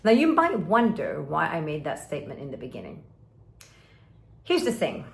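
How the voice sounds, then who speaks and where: A woman's voice: low voice, wildly swooping pitch, normal speed, vocal fry. A Swedish woman in her fifties with an American-influenced English accent, giving earnest, emphatic coaching talk to camera and acting out inner voices. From Sweden. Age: 50s. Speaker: relationship coach. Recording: roomy echo.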